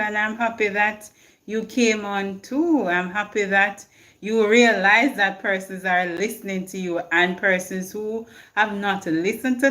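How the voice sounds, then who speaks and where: A woman's voice: medium pitch, fairly bright tone. A Jamaican woman hosting a live stream, chatting casually and answering viewer comments. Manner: reads viewer comments aloud and answers them, laughs often. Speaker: livestream host. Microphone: phone.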